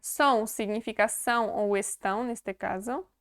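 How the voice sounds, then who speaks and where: Lady teaching, chatty, slow repeats, smooth clear voice. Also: fairly high pitch. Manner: chatty, laughs often, repeats words slowly. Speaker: language teacher. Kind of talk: casual video lesson.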